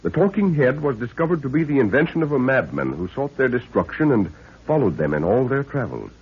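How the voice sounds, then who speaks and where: An actor serving as the grave, dramatic narrator of an old jungle mystery radio serial, reading scripted narration. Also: male voice, medium pitch, big pitch swings, a little fast, husky. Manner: portentous, dramatic. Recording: tinny, band-limited 1930s radio recording.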